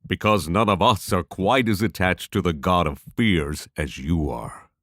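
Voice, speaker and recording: Male actor as careful young male adventurer, deep voice, measured, serious, fantasy audio drama, dry sound. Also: fairly bright tone, dry recording. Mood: cautious, thoughtful.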